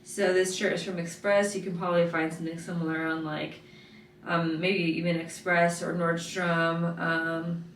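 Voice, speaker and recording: A woman in her twenties, vlogging casually with a raspy morning voice and lots of filler words. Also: lowish voice, flat delivery, normal speed, a little hiss or hum. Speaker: lifestyle vlogger. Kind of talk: casual vlog chat to camera.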